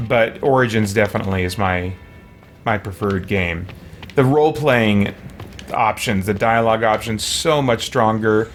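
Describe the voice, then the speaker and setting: A man doing casual livestream gaming commentary, thinking aloud as he plays. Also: fairly low pitch. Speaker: livestreaming gamer and host. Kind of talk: casual let's play commentary. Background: game music.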